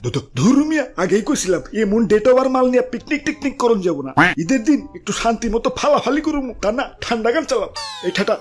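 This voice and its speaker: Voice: medium pitch, lively intonation, fast talker, a little mellow, lightly acted. A performer voicing a brash, irritable young party lover in a comedy cartoon, with a dismissive, stuttery way of starting words. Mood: annoyed. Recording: music behind the voice. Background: music.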